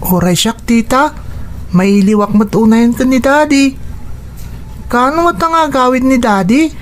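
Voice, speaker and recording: A woman's voice: sits high, unhurried. A woman playing a concerned, affectionate young boy in a Philippine radio drama, with an earnest way of talking.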